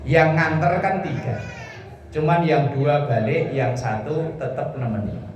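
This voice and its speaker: A man's voice: fairly high pitch, unhurried, lo-fi sound, a little hiss or hum. A man preaching informally, explaining scripture phrase by phrase in a conversational, joking manner. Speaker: Islamic preacher. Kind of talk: informal sermon explaining Quran verses. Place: echoey room with a listening congregation. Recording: echoey hall, distant mic, audience murmur.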